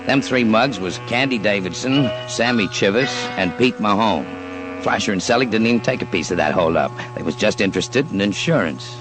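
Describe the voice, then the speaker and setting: An actor playing a tough, matter-of-fact gangland insider with slangy, ungrammatical talk in an old radio crime show. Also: male voice, on the low side, animated, a little fast, over music, close to an everyday voice. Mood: knowing. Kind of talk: old-time radio crime documentary acting.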